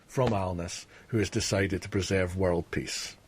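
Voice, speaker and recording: A man with a low, husky voice speaks as an expert researcher. He explains historical findings in interview style for a radio documentary. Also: fairly even intonation, unhurried.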